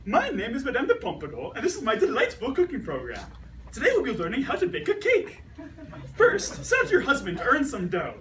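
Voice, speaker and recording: A woman plays a dainty, cheerful 1920s housewife hosting a radio cooking show. Her pitch swings extremely widely as she gives theatrical instructions. The amateur recording is a little distant, with faint noise. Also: wildly swooping pitch, brisk.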